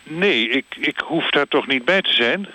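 Man acting a bumbling, bright-voiced company chairman. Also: a little high, lively intonation, unhurried, heavily acted. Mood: evasive, bumbling. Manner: hesitant, vague, stammering. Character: evasive, bumbling male oil company chairman. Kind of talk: mock radio phone interview.

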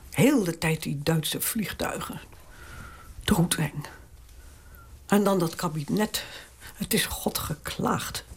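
A woman's voice: on the low side, slightly rough, heavily acted. An actress playing an aging, strong-willed queen mother in a radio drama, talking in an imperious, indignant way. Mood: weary. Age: older.

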